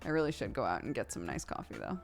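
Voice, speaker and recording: A woman's voice: rumbling low, huge pitch swings, quick pace, creaky, soft background music. A woman in her thirties streaming from home, chatting casually with her viewers, giggling often and swearing offhandedly.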